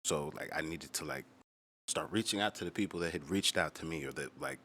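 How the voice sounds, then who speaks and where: Deep-voiced young man, casual and sweary. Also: a little fast, sharp tone, a little hiss or hum. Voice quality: low-pitched. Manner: informal, heavily profane, slangy, emphatic. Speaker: musician explaining own lyrics on own channel. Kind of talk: casual explanatory chat.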